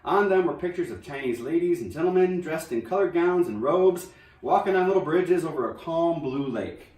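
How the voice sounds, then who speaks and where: A man reading a children's novel aloud in an expressive storybook narration style. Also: fairly high pitch, moderate pace. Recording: roomy echo, distant microphone.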